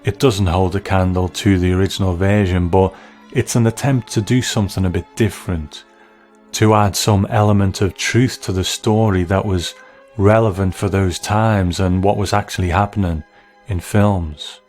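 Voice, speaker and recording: A man with a very low-pitched voice presents a review podcast. He talks in a considered, reflective way, weighing his points as commentary. Faint music plays behind him. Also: steady tone, moderate pace, a little mellow. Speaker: podcast host.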